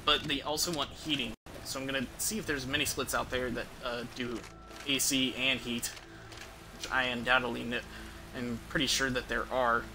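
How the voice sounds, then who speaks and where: A man's voice: mid-range voice, little pitch movement, normal speed, noisy background. A young man chatting casually and offhandedly as he streams a video game, rambling along between bits of play. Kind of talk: casual chat while playing a video game. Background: game music.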